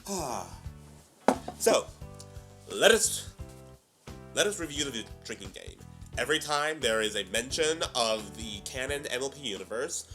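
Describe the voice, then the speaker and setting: A man hosting a reaction video with excitable, hammy, theatrical commentary. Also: medium pitch, unhurried, long pauses, faint music behind.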